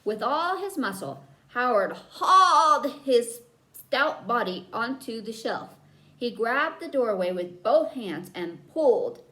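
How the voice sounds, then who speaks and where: A woman reading a children's book aloud as a storyteller, with dramatic emphasis and drawn-out key words. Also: fairly high pitch, big pitch swings, sharp tone. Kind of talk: expressive read-aloud narration for children.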